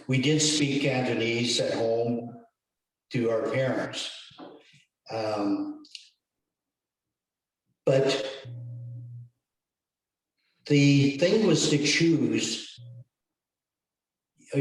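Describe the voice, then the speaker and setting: A man from Canada speaking as a guest on a video call, slow and thoughtful with many pauses. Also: medium pitch, heavy reverb, distant mic, rough recording. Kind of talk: casual talk on a video call.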